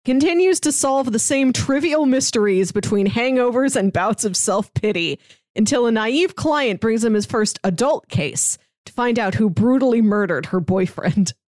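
A woman's voice: on the high side, lively intonation, normal speed, a little mellow, no room sound. A woman co-hosting a podcast in casual chat, talking in a chatty way with hesitant asides. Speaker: podcast co-host. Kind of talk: casual podcast chat, pitching films and reading synopses.

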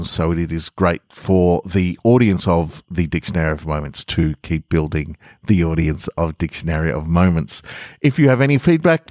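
A man's voice: low-pitched, dry recording, clean studio sound. A man hosting a podcast, speaking casually and earnestly to his listeners. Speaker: podcast host and storyteller. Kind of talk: casual podcast outro with scripted story reading.

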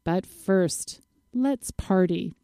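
A woman's voice: medium pitch, very slow, dark tone, dry recording, studio quality. A woman hosting a radio show, introducing topics and interviewing a guest.

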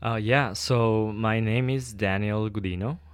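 Soft-spoken man, thoughtful and hesitant. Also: on the low side, steady tone, measured pace, clear, resonant tone, no room sound, clean studio sound. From Ecuador. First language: Spanish. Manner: hesitant, thoughtful, many ums and restarts. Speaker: guest, doctoral student. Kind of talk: interview answers.

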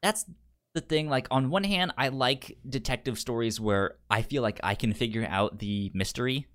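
A man with a mid-pitched voice chats casually as a podcast co-host about film. He speaks haltingly, restarting and repeating words and syllables while he gets to his point. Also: a bit bright.

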